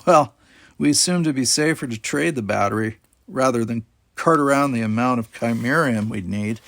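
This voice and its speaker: A man playing a cocky teenage alien boy in an audiobook, a breezy, defiant fast-talker bluffing his way along. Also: mid-range voice, creaky, fairly bright tone. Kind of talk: audiobook character voice.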